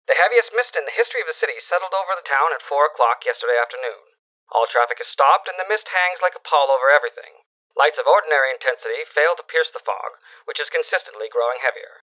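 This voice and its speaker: A man's voice: on the high side, expressive, quick pace. A man playing a formal wire-service voice reading ominous news dispatches like an old bulletin, through a radio filter. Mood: grave, formal. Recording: tinny narrow-band old radio sound.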